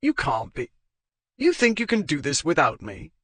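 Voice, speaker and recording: A man voicing a hot-tempered, bossy young gentleman who speaks in curt commands. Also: sits high, huge pitch swings, normal speed, dry recording, pitched above the performer's own voice, exaggerated voice. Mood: angry.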